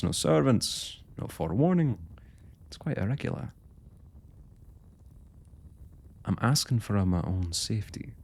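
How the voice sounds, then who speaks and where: A man's voice: sits low, gravelly, darkish tone, exaggerated voice. A man playing a young royal blacksmith in an intimate fantasy roleplay, speaking to the listener in courtly, hesitant, old-fashioned phrasing. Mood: wary, questioning. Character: young male royal blacksmith, smitten, forthright, proud working man.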